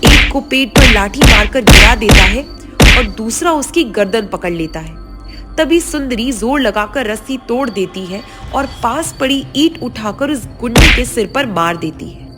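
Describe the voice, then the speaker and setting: A woman narrates a scripted story as a dramatic storyteller. Her voice sits fairly high, and music plays clearly behind her. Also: lively intonation, a little slow.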